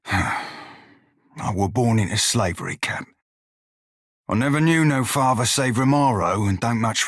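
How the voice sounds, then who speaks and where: An actor playing a gruff, wry pirate shiphunter in a video game, with a rough-edged voice and folksy sailor dialect. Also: male voice, fairly low pitch, fairly bright tone, no room sound, clean studio sound, full character voice. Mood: somber, bitter. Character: salty blue-furred pirate shiphunter, former slave, gruff and wry. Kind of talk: video game character dialogue.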